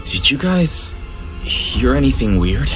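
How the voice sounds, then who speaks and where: Young anime game lead, middle register, considerate and thoughtful, music underneath. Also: male voice, medium pitch, very animated, takes it slowly. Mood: puzzled. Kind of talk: anime-style video game acting.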